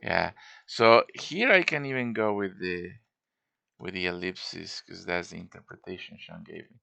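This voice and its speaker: A man's voice: low-pitched, normal speed, gravelly, bright, forceful tone. A man giving a casual show-and-tell tutorial as a game modder, informal and thinking aloud as he works.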